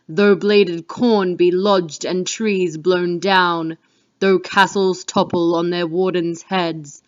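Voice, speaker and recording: A woman's voice: fairly low pitch, muffled recording. A woman playing a commanding, desperate man who declaims insistently in a dramatic Shakespeare reading.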